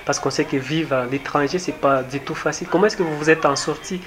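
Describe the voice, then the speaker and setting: A man's voice: a little high, quick pace, fairly bright tone. A man hosting a radio interview, asking questions in a formal, polite manner. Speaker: radio host.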